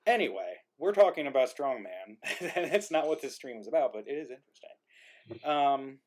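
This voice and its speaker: A man with a mid-range voice hosts an informal live stream. He talks casually and haltingly, as if working things out aloud while he speaks. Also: medium pitch, lively intonation, darkish tone. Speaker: host of an online live-streamed play reading. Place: remote group video stream.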